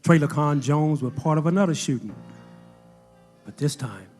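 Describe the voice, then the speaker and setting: A man's voice: on the high side, lively intonation, normal speed, darkish tone, big-room sound, faint music behind, natural voice. A man playing a grave news narrator in a scripted audio drama. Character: grave male news narrator telling a tragic tale.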